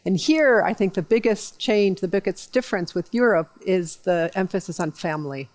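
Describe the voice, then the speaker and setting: A middle-aged woman answering interview questions in a thoughtful, measured, friendly way. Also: mid-range voice, animated. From United States. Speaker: guest, diplomat.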